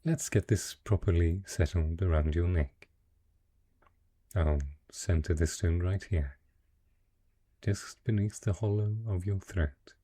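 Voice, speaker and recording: A man playing a wealthy dragon in human guise, a possessive, teasing merchant patron who coaxes the listener slowly and courteously in an intimate fantasy romance roleplay. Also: sits low, dark tone, breathy edge, exaggerated voice. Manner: slow, teasing, courtly, coaxing.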